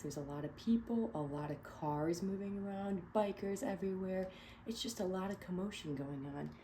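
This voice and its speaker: A woman reading a picture book aloud to children, pausing to ask them questions. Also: mid-range voice, a little hiss or hum. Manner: gentle, asks children questions. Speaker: read-aloud storyteller.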